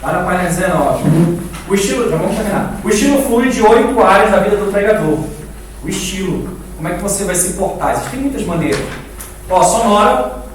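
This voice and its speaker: The sound is very echoey and distant, with faint noise. A high-voiced Brazilian man teaches a church class in an informal, didactic way. He repeats words for emphasis and keeps turning to his listeners with questions. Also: lively intonation, fairly quick. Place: echoing indoor room, live class.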